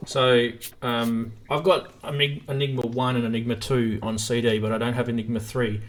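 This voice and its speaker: A man's voice: mid-range voice, level tone, normal speed, a little hiss or hum. An Australian man hosting a casual live chat about music gear. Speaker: live stream host.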